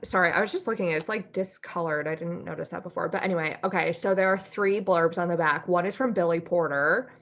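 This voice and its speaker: A woman's voice: lowish voice, fairly quick, few pauses, fairly bright tone. Giggly American woman chatting casually. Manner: giggly, informal, rambling asides. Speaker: podcast co-host. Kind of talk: casual chat with a friend.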